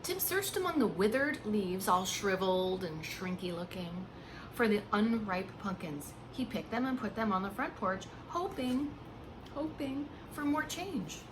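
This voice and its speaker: A woman reading a picture book aloud like a preschool teacher, with expressive, hushed emphasis and simple asides to small children. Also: mid-range voice, unhurried, background noise. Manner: simple explanations and questions addressed to small children. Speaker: pre-K teacher.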